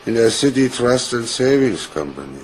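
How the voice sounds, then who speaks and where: An actor playing a sly, needling police detective with an insinuating manner in a German crime radio play. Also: male voice, mid-range voice, very animated, a little hiss or hum, close to an everyday voice. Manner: deliberate, insinuating.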